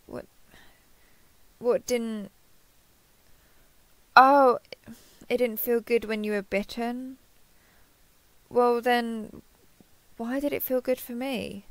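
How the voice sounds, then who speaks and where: A woman's voice: fairly high pitch, fairly bright tone, no room sound. A woman playing a kindhearted, awkward, easily flustered human in an intimate roleplay audio, hesitant and stammering as she asks curious questions. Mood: surprised, puzzled. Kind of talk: intimate roleplay audio acting, one side of a conversation.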